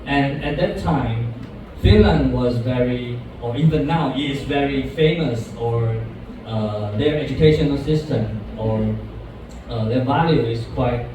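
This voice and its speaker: A man in his thirties from Taiwan giving a talk to university students, halting, with many restarts and fillers. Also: medium pitch, distant mic, noisy background. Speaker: guest speaker. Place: university classroom. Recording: echoey lecture room from afar.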